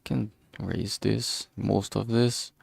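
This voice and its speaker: A man's voice: lowish voice, steady tone, slow pace, gravelly, darkish tone, dry recording. A man giving casual, rambling commentary while streaming his drawing work, informal and self-deprecating in manner. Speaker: streamer making a visual novel.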